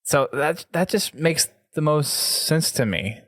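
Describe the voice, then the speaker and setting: A man's voice: a little high, animated, slow pace, fairly bright tone, dry recording. Casual male podcast host, close dry sound. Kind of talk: casual gaming podcast chat.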